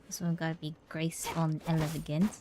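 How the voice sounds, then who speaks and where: A woman game streamer giving casual, low-key commentary in short muttered reactions as she plays. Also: completely flat delivery, fairly quick, noisy background.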